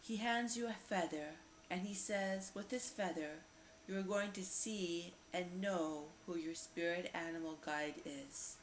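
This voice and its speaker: A woman narrating a guided meditation visualization in a slow, repetitive, soothing manner with lingering pauses. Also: fairly low pitch. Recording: roomy echo, faint background noise.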